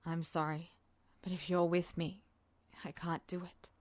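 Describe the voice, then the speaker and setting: Audiobook role: woman playing a grave male officer, low, hushed, pleading, remorseful but resolute, recorded dry. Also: level tone, a little slow, dry recording, natural voice. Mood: sorrowful, apologetic.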